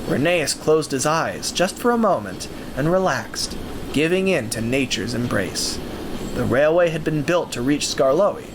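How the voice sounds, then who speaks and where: Animated higher-pitched male storyteller. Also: background noise. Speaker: narrator. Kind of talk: scripted dramatic storytelling narration.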